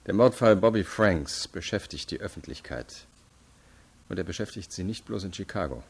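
Low-voiced, stern male interrogator. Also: lively intonation, slightly rough, heavily acted. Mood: formal, stern. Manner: formal, measured, insistent. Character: stern, probing adult male investigating state attorney. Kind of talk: radio crime drama acting.

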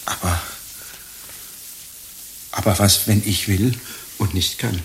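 An actor playing an anxious, lovestruck ageing friar in a radio drama, hesitant, stammering and plaintive. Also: male voice, on the low side, slow pace, slight background noise. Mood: sheepish.

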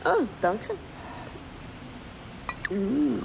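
Easygoing young Swiss German woman, radio play: highish, very leisurely, noisy setting. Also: big pitch swings, drawn-out words, creaky, heavily acted. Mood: friendly, pleased. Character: relaxed, friendly young woman sharing a drink. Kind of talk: radio drama acting. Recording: loud noisy background with clicks.

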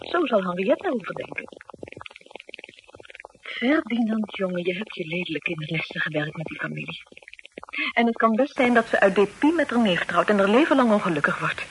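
Actress as lively, outspoken young confidante. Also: female voice, mid-range voice, close to an everyday voice. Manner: expressive, chiding, matter-of-fact. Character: practical, brisk, outspoken young woman confidante. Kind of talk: radio drama acting, period dialogue. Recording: noisy old radio play recording.

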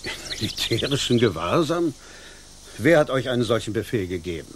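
An actor playing a proud, dignified gentleman landowner in a German radio drama, speaking in an affronted, indignant manner. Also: medium pitch, big pitch swings, brisk, a little hiss or hum, lightly acted. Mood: formal, taken aback.

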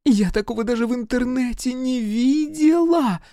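A man voicing excited, teasing young women with a gleeful, squealing manner in a comic audiobook. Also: very high voice, normal speed, dry recording, studio quality, pitched above the performer's own voice.

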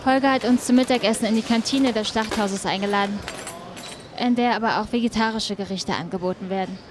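A woman's voice: medium pitch, a little fast, noisy background, close to an everyday voice. A woman playing a composed, probing reporter who narrates and interviews in a documentary-style radio play. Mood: composed narration. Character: inquisitive woman reporter narrating her investigation. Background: music.

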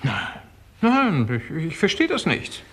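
A man playing an excitable, grumbling pub landlord, chummy yet wary, in a 1960s German radio crime drama. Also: on the high side, takes it slowly, full character voice. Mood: wary, grudging. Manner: animated, protesting, chuckling wryly. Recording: 1960s radio play, piano music behind.

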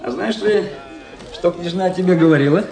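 A vain young cadet in a radio drama. His voice is mellow and mid-pitched, with affected, declamatory phrasing. It rings with echo, as a faint crowd murmurs behind. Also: fairly quick, creaky. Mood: smug, teasing. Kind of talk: theatrical radio play dialogue. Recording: echoey radio play scene with faint crowd.

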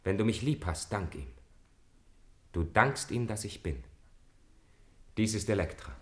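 An actor playing a grave, resolute exiled brother in a German radio drama, speaking in a solemn, measured way. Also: male voice, lowish voice, animated, fairly bright tone. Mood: solemn, quiet.

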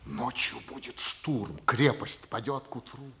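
An actor playing a proud, pompous captured German intelligence colonel in middle age, speaking deliberately in a 1950s stage drama style. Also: male voice, mid-range voice, animated, bright, forceful tone. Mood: confiding, calculating.

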